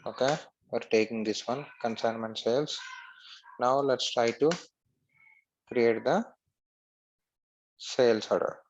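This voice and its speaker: A man narrating a screen-share software tutorial step by step as an instructor. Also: medium pitch, fairly even intonation, slow, pauses often, dull audio.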